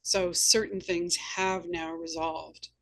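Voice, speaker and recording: A woman host and novelist talking conversationally about writing, with measured, articulate phrasing. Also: on the low side, level tone, gravelly, a bit bright, rough recording. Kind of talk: conversational literary discussion.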